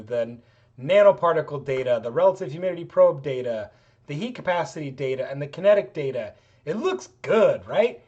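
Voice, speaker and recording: A man's voice: fairly high pitch, darkish tone, dull audio. A middle-aged man teaching a chemistry lab tutorial in a casual, rambling way, his voice worn out. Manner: casual, rambling, self-deprecating. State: voice getting worn out. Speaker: chemistry professor. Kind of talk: screen-recorded lab tutorial.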